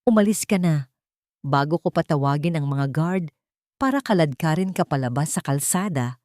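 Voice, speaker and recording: A woman's voice: low-pitched, expressive, normal speed, a little mellow, no room sound, studio quality. A woman voicing a cruel, wealthy villainess who speaks with cold, threatening contempt. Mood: imperious threat.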